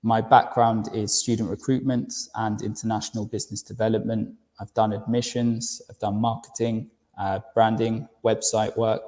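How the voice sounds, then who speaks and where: A man's voice: on the low side, little pitch movement, normal speed, hardly any pauses, a little mellow, big-room sound. A man in his thirties with a London accent presents a university webinar in a friendly manner, often repeating words as he restarts. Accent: London English. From United Kingdom.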